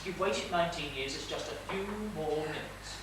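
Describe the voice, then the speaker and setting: A man's voice: fairly high pitch, normal speed, close to an everyday voice. A man playing a fussy but tender middle-aged father in a stage comedy, with courteous, florid, projected delivery. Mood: exasperated, urging. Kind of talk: live comedic stage play acting. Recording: echoey theatre stage, distant mic, noisy.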